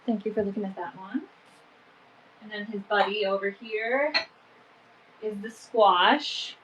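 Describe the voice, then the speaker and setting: A woman with stuffy allergies talks loosely and chattily as she hosts a live vintage sale from home. Her voice sits at an ordinary mid pitch, and her sentences ramble along, filled with fillers. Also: fairly even intonation, measured pace, a bit bright, slight background noise, poor audio. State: sniffly from allergies. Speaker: online vintage seller. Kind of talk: casual live-sale chat.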